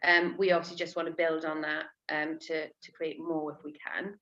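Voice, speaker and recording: A chatty woman co-hosts a training webinar, her voice bright and a little low-pitched. The audio comes through dull and lo-fi. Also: level tone, moderate pace. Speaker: webinar co-presenter.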